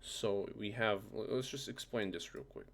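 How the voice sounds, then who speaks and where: A man presenting a casual software tutorial, thinking aloud in an informal way with a gravelly voice. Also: lowish voice, normal speed, a little hiss or hum.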